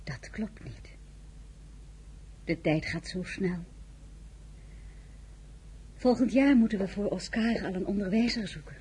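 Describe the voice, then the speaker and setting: A woman's voice: medium pitch, expressive, slow pace, long pauses, dark tone, slight background noise. A woman playing an anxious, earnest young wife and mother in a radio drama, with a hesitant way of talking. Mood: reserved. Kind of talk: Dutch radio drama acting with first-person narration.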